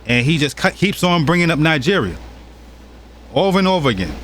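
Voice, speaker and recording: A man's voice: on the high side, very animated, fairly quick, a bit bright. A man from the United States hosting a reaction commentary, mocking and challenging the clips he plays, with faint noise behind him. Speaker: commentary channel host.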